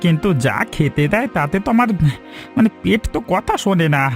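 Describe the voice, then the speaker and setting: A man's voice: high-pitched, lively intonation, fairly quick. A man playing a lazy, gluttonous brother in a cartoon, easygoing but scornful. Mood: carefree, scornful. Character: lazy, gluttonous, carefree brother who sneers. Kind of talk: Bengali cartoon story acting. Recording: clear music under the voice.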